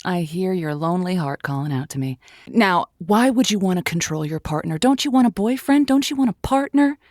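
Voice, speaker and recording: A woman's voice: lowish voice, lively intonation, a little fast, darkish tone, no room sound, studio quality. A woman acting as an earnest, encouraging, slightly exasperated romantic advice host in a comedic audio drama, given to quaint pep talk. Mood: earnest, coaxing.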